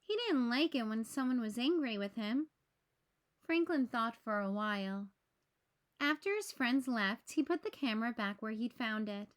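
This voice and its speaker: A woman reading a children's storybook aloud in measured, clearly phrased narration. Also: on the high side, expressive.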